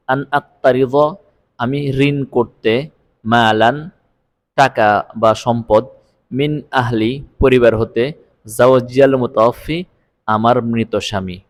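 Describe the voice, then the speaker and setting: A man's voice: medium pitch, no room sound. A man teaching Arabic, explaining phrase by phrase with word-by-word glosses. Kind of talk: phrase-by-phrase translation lesson.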